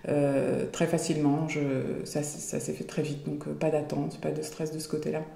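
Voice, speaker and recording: A woman's voice: deep voice, monotone, normal speed, gravelly, mellow, echoey room. A woman in her forties chatting in an interview, hesitating often as she talks. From Belgium. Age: 40s. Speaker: guest. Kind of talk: casual interview chat.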